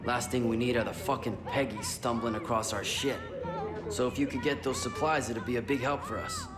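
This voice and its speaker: Male actor as militia fighter, American accent, mid pitch, blunt, casual, echoing room, loud music. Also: steady tone, a little fast, over a noisy crowd, lightly acted. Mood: urgent, casual. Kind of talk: game cutscene dialogue. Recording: echoey hall, loud music behind.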